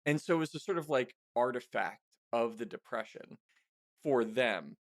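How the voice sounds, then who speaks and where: Halting male podcast host, close dry sound. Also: mid-range voice, lively intonation, no room sound. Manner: halting, self-interrupting, thinking aloud. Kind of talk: casual conversational podcast chat.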